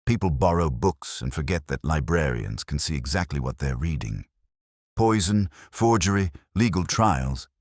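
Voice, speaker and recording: A man's voice: a bass voice, animated, moderate pace, no echo, studio quality. A man voicing a self-important, knowing female librarian in a storybook mystery.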